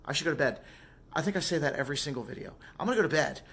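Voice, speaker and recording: Hoarse, tired man vlogging at night. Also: on the high side, expressive, very fast. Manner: rambling, easygoing, soft-spoken with fillers. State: hoarse and tired after a show. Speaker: vlogger and singer. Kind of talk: casual vlog talk to camera. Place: small home music studio, indoors. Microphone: phone camera at arm's length.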